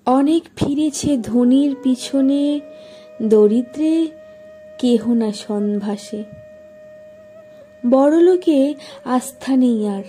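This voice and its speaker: A woman with a high voice recites poetry very slowly over light music. Her tone is soft and mellow, and she places each phrase deliberately, leaving expressive pauses. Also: low-quality recording. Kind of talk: dramatic Bengali poetry recitation.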